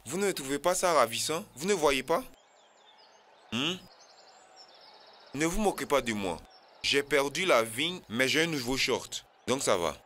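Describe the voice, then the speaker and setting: A man's voice: medium pitch, lively intonation, unhurried, long pauses, pushed and bright, a little hiss or hum. A man voicing a goofy, clumsy animal athlete in a cartoon, cheerfully vain, comic and exclamatory. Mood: winded, sheepish.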